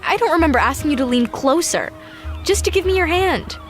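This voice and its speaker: Woman playing a high-voiced dreamy astronaut, music behind. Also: lively intonation, fairly quick. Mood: dreamy, tender. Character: dreamy, romantic female astronaut exploring Titan. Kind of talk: audio sci-fi drama dialogue.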